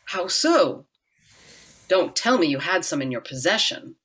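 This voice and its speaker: A woman playing a grave, measured doctor in a radio drama. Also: lowish voice, big pitch swings, a bit bright, breathy edge, full character voice. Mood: probing. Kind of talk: old-time radio drama acting.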